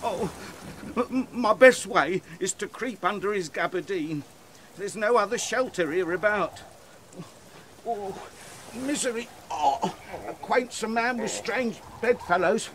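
A man acts a jittery clown castaway in a Shakespearean comedy for audio. The voice is high and very animated, theatrical, yet takes its time. Stormy background noise is clearly heard. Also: takes it slowly, exaggerated voice. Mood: panicked. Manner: exclamatory, musing aloud, theatrical. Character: jittery, curious, cowardly male clown castaway. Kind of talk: Shakespearean comic audio play acting.

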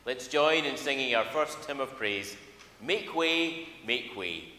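Older minister in an echoey church. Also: male voice, mid-range voice, lively intonation, slow pace, sharp tone. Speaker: church minister leading worship. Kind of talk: church service welcome and scripture reading. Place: large church interior. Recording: echoey church hall, background noise.

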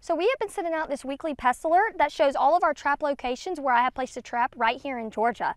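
Young woman field specialist explaining ag tech outside, pretty high voice, dry sound no echo. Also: fast talker. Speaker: guest, precision agriculture field specialist. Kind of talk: product explanation in an interview. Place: outdoors in an open peanut field. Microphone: clip-on lavalier.

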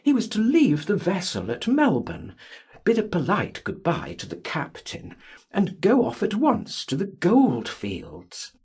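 A man narrating a literary audiobook in a dramatic, expressive and measured way. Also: sits high, husky. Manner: theatrical, expressive, measured reading.

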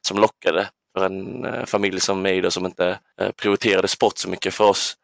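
A man's voice: deep voice, flat delivery, creaky, fairly bright tone, no room sound, studio quality. A man in his twenties from southern Sweden, reminiscing casually as an interview guest in a hesitant, thoughtful way. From Ängelholm, southern Sweden. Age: 20s. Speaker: podcast guest, basketball player.

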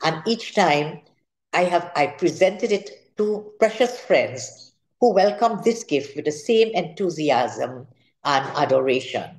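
Slow-reading older Trinidadian woman. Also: low voice, animated, low-quality recording. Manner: measured, formal, careful reading. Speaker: author giving a literary talk. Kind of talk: prepared speech read aloud. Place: indoor room at home, seated at a table.

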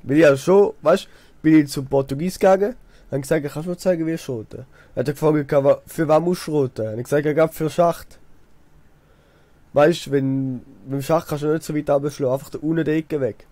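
A man's voice: fairly high pitch, brisk, dark tone, no room sound. A young man with a Swiss German accent chatting casually over a game, telling stories in a rambling, repetitive way. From Switzerland. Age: young adult. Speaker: let's player, apprentice builder. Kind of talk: casual chat while gaming.

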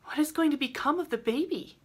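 Storybook heroine: young, high-pitched, hesitant and earnest, voiced by a female reader. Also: quick pace, lightly acted. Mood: hesitant, worried. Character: anxious, tender-hearted, squeamish young woman.

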